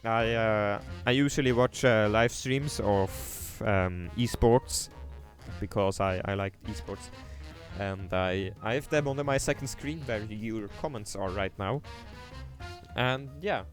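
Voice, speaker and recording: A man's voice: lowish voice, takes it slowly, faint music behind. A young man from Switzerland with a Swiss German accent, casually commenting on his city-building game during a livestream, hesitant, with lots of fillers and restarts while reading chat aloud.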